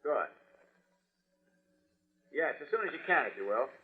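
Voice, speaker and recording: Mild-mannered dogged reporter, earnest, higher male pitch, vintage radio drama style, muffled recording. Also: sing-song, a little slow, breathy edge, exaggerated voice. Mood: businesslike, confiding. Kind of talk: 1950s radio drama acting.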